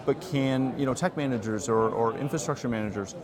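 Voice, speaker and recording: A man interviewing at a trade show, with an even mid-range voice and keen enthusiasm. The busy hum of a crowd surrounds him on the show floor. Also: mid-range voice, fairly quick. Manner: enthusiastic, frequent affirmations.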